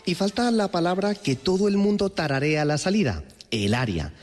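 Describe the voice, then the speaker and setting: A man's voice: high voice, animated, moderate pace, darkish tone, in a large hall. A man explaining language on the radio, using examples. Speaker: language commentator.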